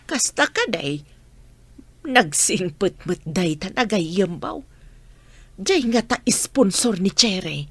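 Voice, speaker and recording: A woman playing a plain-spoken, middle-aged provincial aunt with a husky voice in a radio drama. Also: medium pitch, big pitch swings, slow, pauses often, fairly bright tone, dry recording, exaggerated voice. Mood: chatty, practical.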